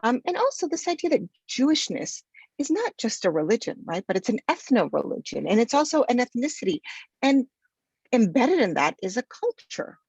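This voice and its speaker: Woman professor, from Argentina, chatty lecture, husky voice, dry dull call audio. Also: on the high side, sing-song, moderate pace, a little mellow, no room sound. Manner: chatty, many ums and restarts. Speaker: sociology professor giving a talk. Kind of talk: informal lecture presentation. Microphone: video call.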